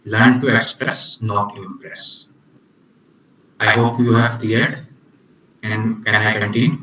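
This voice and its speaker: A man presenting an online club orientation on communication skills, speaking haltingly with many restarts and fillers. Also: lowish voice, steady tone, a bit bright, roomy sound, far from the mic, slight background noise, poor audio. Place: video call.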